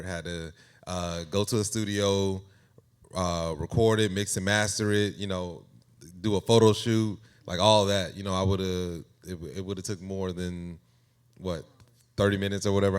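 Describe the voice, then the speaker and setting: A man with a deep, resonant voice talks casually as an interview guest. He works through his thoughts as he goes, with a halting, conversational delivery. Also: clean tone. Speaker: guest AI music creator.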